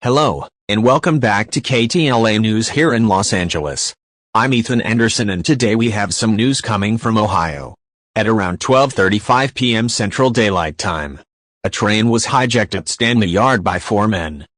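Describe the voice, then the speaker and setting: Male TV news anchor, acted by a man, composed and formal, lowish pitch, dry close sound, scripted breaking report. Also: moderate pace, fairly bright tone, no echo, close to an everyday voice. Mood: composed, serious. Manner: formal, matter-of-fact.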